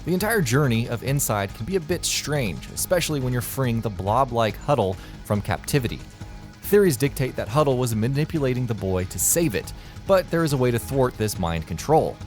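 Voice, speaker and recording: Male countdown narrator, animated and wry, mid-pitched, faint music underneath. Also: brisk. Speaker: video narrator.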